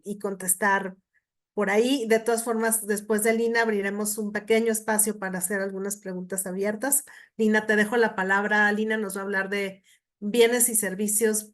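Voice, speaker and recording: A woman moderating a webinar, thanking speakers and handing over the floor in a friendly, organizing way. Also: medium pitch.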